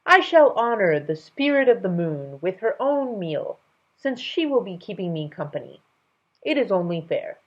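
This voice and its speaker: A woman's voice: medium pitch, sing-song, moderate pace, lo-fi sound, voice pushed up. A woman voicing a playful, commanding king, an amused and imperious man who speaks with emphatic, regal decisiveness. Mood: imperious, decisive.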